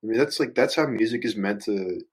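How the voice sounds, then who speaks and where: A man chatting casually as a musician guest on a podcast, thinking out loud in a rambling, hesitant, reflective way and swearing casually. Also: lowish voice, fairly quick, slightly rough.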